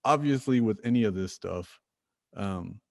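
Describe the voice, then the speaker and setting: A man's voice: lowish voice, animated, measured pace, gravelly, a little mellow. A man hosting a podcast conversation, thinking aloud in a reflective way and leaning on lots of "you know" and "like". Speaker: podcast host. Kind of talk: conversational interview.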